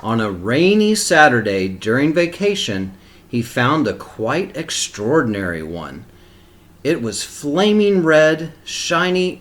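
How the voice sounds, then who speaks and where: A man reading a picture book aloud to young children, expressively and with deliberate phrasing. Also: mid-range voice. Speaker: storybook reader for kindergarten children. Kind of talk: read-aloud storytelling with short asides.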